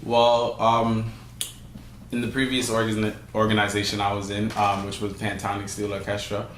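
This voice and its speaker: A man's voice: lowish voice, completely flat delivery, normal speed, a bit bright, slight background noise. A young man from Trinidad answering interview questions, hesitant, with many fillers and restarts. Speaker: guest, steelpan arranger. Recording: echoey room, faint ambience.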